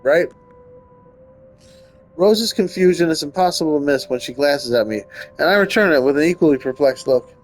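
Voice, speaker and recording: A man's voice: fairly high pitch, expressive, normal speed, pauses often, faint music behind. A man giving casual gameplay commentary and reading story text aloud, often stumbling and rereading. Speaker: gaming streamer.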